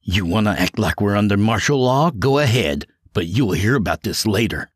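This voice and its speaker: A man acts out an exhausted, bitter male doctor who snaps at everyone. The voice is husky and moves a lot in pitch, and the sound is dry. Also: lively intonation, a little fast, no echo. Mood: irritable. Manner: bitter, snapping.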